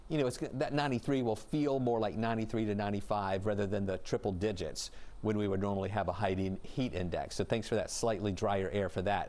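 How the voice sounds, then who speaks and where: A man delivering a live TV weather forecast in a brisk, conversational way. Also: on the low side, darkish tone. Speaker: TV meteorologist.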